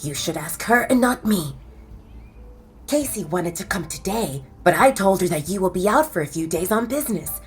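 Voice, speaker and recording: A woman's voice: on the low side, expressive, moderate pace, soft background music, close to an everyday voice. A woman voicing a stern, exasperated motherly older she-wolf in an audio drama. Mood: displeased, stern.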